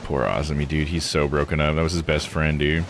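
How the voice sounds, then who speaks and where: A man's voice: a bass voice, little pitch movement, a little fast, background noise. A man giving casual live game commentary, joking, slangy and thinking aloud. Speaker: video game streamer.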